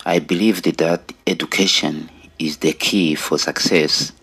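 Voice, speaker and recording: A middle-aged man with a Rwandan accent giving an earnest personal testimony in deliberate, short phrases with pauses. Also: a bass voice, steady tone, gravelly, a bit bright, husky. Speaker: storyteller sharing own life story.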